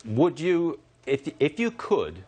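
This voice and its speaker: A man's voice: fairly high pitch, wildly swooping pitch, slow, darkish tone, husky. A man hosting a live TV news interview, putting pointed questions to a guest.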